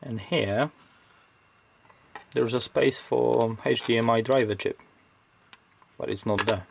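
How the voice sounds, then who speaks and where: A man's voice: medium pitch, slow pace, creaky, breathy edge. A man giving casual, off-the-cuff technical commentary as he hosts an electronics teardown, hesitantly thinking aloud as he goes.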